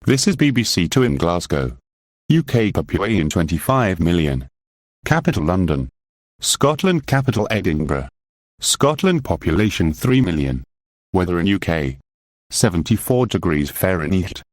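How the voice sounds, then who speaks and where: Very low male TV newsreader played by a man for a horror emergency broadcast, clipped delivery, lively intonation. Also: moderate pace, dry recording, clean studio sound. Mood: formal. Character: BBC emergency announcer during a monster attack. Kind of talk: scripted emergency broadcast narration.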